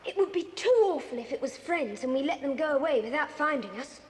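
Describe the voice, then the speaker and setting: Bossy English child, very high voice, eager, 1980s children's drama, faint tape hiss. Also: female voice, lively intonation, brisk, big-room sound. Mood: hushed, tense. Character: eager, bossy British child on an island adventure. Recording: faintly noisy old cassette tape.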